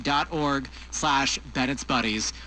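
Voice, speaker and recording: Man on radio over loud guitar music. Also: medium pitch, slow pace, rough recording. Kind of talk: broadcast donation appeal.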